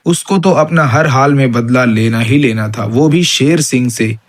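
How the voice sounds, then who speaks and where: A man's voice: medium pitch, moderate pace. A man narrating an animal story for children as its storyteller.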